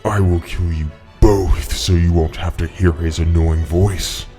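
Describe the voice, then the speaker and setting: A man's voice: low-pitched, steady tone, a little slow, over music. A man acting a cruel, murderous hedgehog monster in a fan audio drama, threatening and sneering. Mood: sinister threat.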